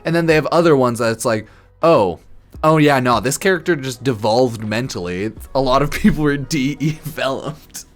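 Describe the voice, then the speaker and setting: A man streaming casual, rambling commentary on a game, loose and halting in an informal, slangy, slightly tipsy way. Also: medium pitch. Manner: informal, crude slang and swearing. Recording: music behind the voice. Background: background music.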